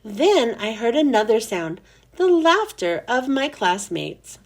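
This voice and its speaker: A woman reading a children's chapter book aloud in a lively, expressive storybook manner. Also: on the high side, slow.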